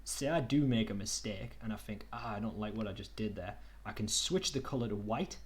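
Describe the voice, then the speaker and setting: A man's voice: lowish voice, brisk. Laid-back young man teaching on a call. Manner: relaxed, chatty, says "okie dokie" and "kind of". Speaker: online workshop tutor. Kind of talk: casual screen-share tutorial.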